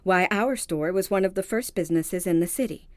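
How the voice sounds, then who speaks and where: A woman's voice: lowish voice, fairly quick, dry recording, close to an everyday voice. A woman acting in a radio-style mystery drama as a polite, anxious store heiress, speaking in a formal, earnest, dramatic way. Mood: worried, earnest. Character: polite, anxious female department store heiress seeking help. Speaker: actor in an audio drama.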